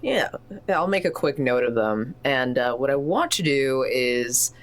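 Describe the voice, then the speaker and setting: A woman playing a tabletop roleplaying game, chatting casually and thinking aloud in an animated way. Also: very deep voice, a little slow. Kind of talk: casual improvised roleplay chat.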